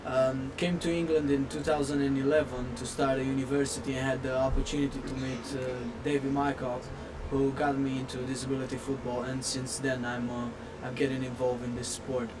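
A man in his twenties with a Romanian accent answering interview questions in a halting way, often repeating words. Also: completely flat delivery. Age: 20s. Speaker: assistant football coach being interviewed. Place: outdoors in front of bushes. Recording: noisy outdoor recording with vehicle rumble.

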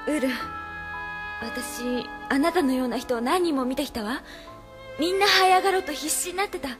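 An actress playing an earnest, gentle but defiant young woman held captive in an anime-style audio drama, speaking in a pleading manner. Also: high voice, normal speed, over music, close to an everyday voice. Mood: saddened, concerned.

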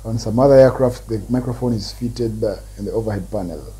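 A man in his thirties presenting a technical tutorial, explaining step by step in deliberate, short phrases with a husky voice. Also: fairly low pitch, mellow. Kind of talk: explanatory lecture.

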